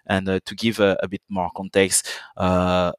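A young man with a French accent, a guest on a business podcast, speaking in deliberate, halting second-language phrasing. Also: deep voice, little pitch movement, smooth, no echo, studio quality. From French Caribbean island. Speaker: guest, partner account manager.

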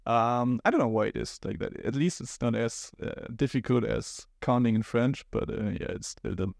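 A man's voice: medium pitch, normal speed, creaky, a little mellow, no echo, clean studio sound. A young man with a German accent, chatting as a podcast guest, hesitant and thinking aloud. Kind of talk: casual podcast chat.

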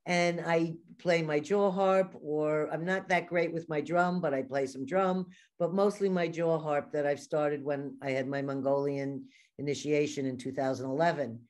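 A woman's voice: deep voice, fairly even intonation, moderate pace, darkish tone. A woman with a New York accent hosting a podcast, telling stories in a chatty way and laughing often. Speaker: podcast host. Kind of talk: casual interview conversation.

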